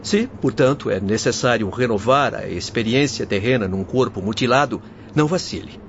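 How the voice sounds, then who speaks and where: A man's voice: mid-range voice, moderate pace, close to an everyday voice. A man acting an earnest young doctor in a radio drama, with a solemn, exhorting manner. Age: young adult. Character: young doctor, earnest, energetic moral counsellor. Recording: dull lo-fi audio with noise.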